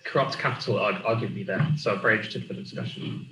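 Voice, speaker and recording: A young man introduces himself on a panel in a relaxed, slightly hesitant way. His voice is fairly low. The room is echoey, with faint background noise. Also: fast talker. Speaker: panelist, water engineer and student. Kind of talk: online panel discussion. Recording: roomy echo with faint noise.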